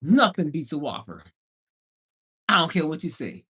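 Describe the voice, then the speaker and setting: A man with a very lively, swinging pitch chats casually as a livestream host. His delivery is slangy and playful, leaning hard on emphatic words. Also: moderate pace, breathy edge. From Bahamian background. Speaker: livestream host and house flipper.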